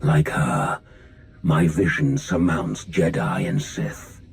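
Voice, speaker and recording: A man playing an imprisoned dark-lord villain in a video game, grand, menacing and contemptuous, speaking in slow, solemn declarations with a deep, gravelly voice. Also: very animated, faint music behind, full character voice. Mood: haughty.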